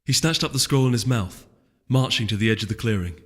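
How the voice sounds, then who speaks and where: A man reading fantasy fiction as a story narrator, in an expressive, suspenseful scripted style. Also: mid-range voice, a little fast. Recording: long hall reverb under music.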